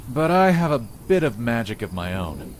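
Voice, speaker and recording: A man's voice: medium pitch, very animated, normal speed, background noise, close to an everyday voice. Man as a dry, worldly village inventor. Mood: matter-of-fact.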